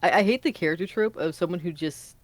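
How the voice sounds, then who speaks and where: A young woman chatting casually while playing a video game, relaxed and at times a little flat. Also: fairly low pitch, quick pace, a little hiss or hum. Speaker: gamer. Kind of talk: casual let's-play chat.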